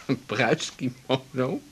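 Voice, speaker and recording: An actor playing an evasive, gently ironic male partner with a casual manner, in a radio drama. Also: mid-range voice, slight background noise. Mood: bemused, ironic. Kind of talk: naturalistic 1980s radio drama acting.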